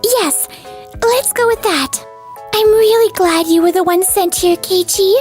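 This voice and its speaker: A woman playing an earnest, anxious young factory manager in a manga dub, polite and expressive. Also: very high voice, unhurried, soft background music. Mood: amazed, grateful. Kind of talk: scripted manga dub acting.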